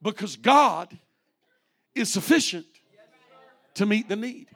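A man's voice: high-pitched, animated, takes it slowly, vocal fry, fairly bright tone. A man in his late forties, a pastor preaching a fervent sermon with rising repeated refrains. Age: 40s.

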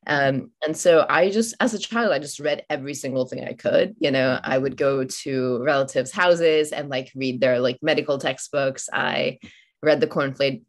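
A woman with a very low-pitched voice whose first language is Malayalam. She talks in a reflective, searching way, pausing and restarting often. She speaks as an author guest on a podcast interview. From India, raised in Oman. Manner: thoughtful, many fillers and restarts.

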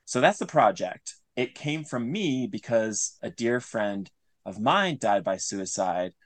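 A man's voice: on the low side, expressive, slow, no room sound. A man speaking as an interview guest, explaining things earnestly in a conversational way and often restating his phrases. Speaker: interview guest, audio drama creator.

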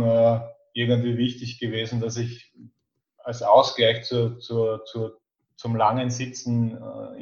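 An Austrian man in his fifties speaks as a podcast guest. He keeps to a narrow, even pitch and picks his words with some hesitation. The recording is dull and echoey. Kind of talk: casual interview about his life. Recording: dull, echoey remote-call sound.

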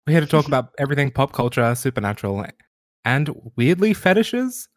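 A man's voice: mid-range voice, very animated, brisk, no echo, clean studio sound. Teasing man hosting a podcast. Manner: teasing, crude, laughs a lot. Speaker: podcast host. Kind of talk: casual chat.

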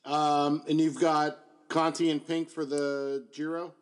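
A man co-hosting a casual sports podcast, tossing out teasing jokes in quick banter. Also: on the high side, flat delivery. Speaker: podcast co-host.

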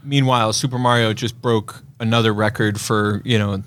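A man's voice: lowish voice, steady tone, normal speed, fairly bright tone, smooth. Confident, opinionated man on a podcast. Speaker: podcast co-host. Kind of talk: casual movie trailer discussion.

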